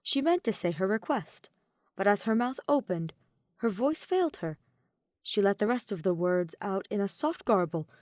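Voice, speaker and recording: A woman's voice: medium pitch, very animated, normal speed, darkish tone, no echo, studio quality. A woman reading a scripted fan story aloud as its narrator. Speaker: audio fan fiction narrator.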